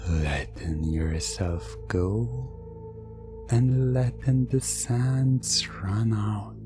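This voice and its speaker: Very slow, low-voiced male hypnosis narrator. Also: over music. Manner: slow, soothing, suggestive, with long pauses. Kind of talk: hypnosis roleplay ASMR, scripted second-person narration.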